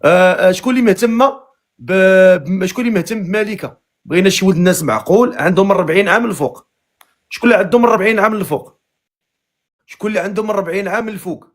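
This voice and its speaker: A man's voice: sits high, racing. A man with a Moroccan Arabic accent hosting a live matchmaking stream, teasing and joking with callers. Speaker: live matchmaking show host. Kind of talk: casual live-stream chat.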